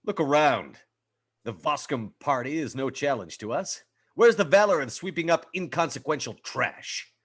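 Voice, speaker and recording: An actor playing a pompous, duty-bound alien spaceship officer in a formal, declamatory way at a comedy table read. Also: male voice, on the high side, animated, normal speed, husky. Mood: commanding. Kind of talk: comic sci-fi script table read.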